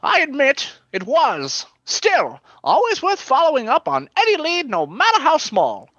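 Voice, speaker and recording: Woman playing a high-voiced flustered chummy guy. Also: wildly swooping pitch, unhurried, a bit bright, no room sound, full character voice. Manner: flustered, stammering, overly agreeable. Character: chummy, nervous, wheedling male detective partner. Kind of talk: comic radio play acting.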